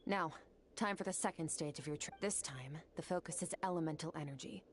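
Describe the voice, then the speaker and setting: A woman's voice: deep voice, slight background noise, close to an everyday voice. A woman voicing a cool, composed mentor. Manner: brisk, businesslike.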